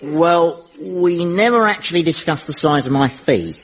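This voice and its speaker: Lively, wily bluffing sergeant. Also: male voice, on the high side, measured pace. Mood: sly. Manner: stammering, ingratiating, evasive. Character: wily, venal, fast-talking army sergeant, obsequious bluffer. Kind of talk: audio sitcom acting. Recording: slightly noisy, dull studio recording.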